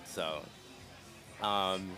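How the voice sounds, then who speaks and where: Calm, gentle man hosting an interview. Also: deep voice, very slow, faint music behind. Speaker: interview host. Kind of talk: casual live interview. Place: outdoor street festival. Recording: outdoor live stream.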